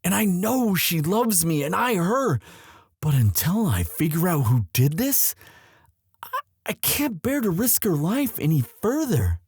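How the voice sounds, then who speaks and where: Guy acting as a young prince in love, slow n husky, tender boyfriend asmr roleplay, dry close sound. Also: high voice, sing-song, a little mellow, no echo, exaggerated voice. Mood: anguished. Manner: halting, emotive, sighing, intimate delivery. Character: lovestruck young prince, anguished, tender and protective.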